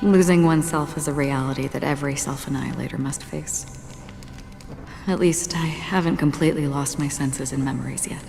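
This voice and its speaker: An actress voicing a melancholy, detached female wanderer in a game, reflective, stoic and softly subdued. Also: deep voice, fairly even intonation, fairly quick, vocal fry. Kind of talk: dramatic game dialogue. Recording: long hall reverb, music behind. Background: music.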